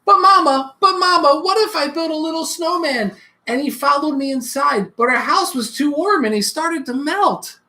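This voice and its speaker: Storybook role: worried, excitable little kid, acted by an adult reader, high and very animated, pleading with questions. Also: exaggerated voice. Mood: eager, worried. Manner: pleading, rapid what-if questions.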